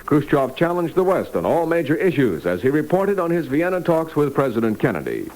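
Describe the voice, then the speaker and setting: A man's voice: fairly high pitch, huge pitch swings, a little fast, a little mellow, poor audio. A man reading scripted news as an anchor on a vintage 1960s radio broadcast.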